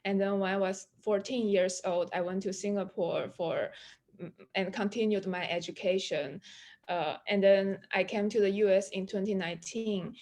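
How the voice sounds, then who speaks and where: Young woman from China, PhD panelist, mid-pitched, halting with fillers, video call. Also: steady tone, darkish tone. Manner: hesitant, many fillers. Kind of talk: online panel self-introduction.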